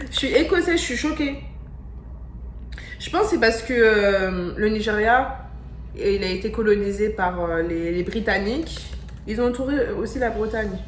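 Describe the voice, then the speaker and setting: Excitable young French woman vlogging. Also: a little high. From France. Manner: excitable, repeats exclamations, slangy. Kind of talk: casual vlog reaction. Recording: echoey room with faint music.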